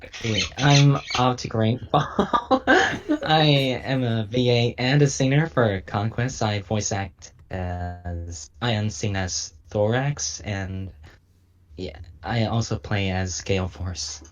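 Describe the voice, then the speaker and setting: A man's voice: fairly low pitch, slow pace. A man casually introducing himself to a group on a voice call. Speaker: voice actor and singer. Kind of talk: slow casual self-introduction. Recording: slightly dull voice-call audio.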